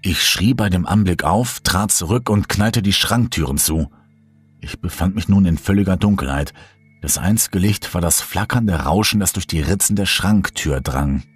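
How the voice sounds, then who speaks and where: A man's voice: low-pitched, moderate pace, husky, soft background music. A man reading a first-person horror story as a scripted audiobook narration.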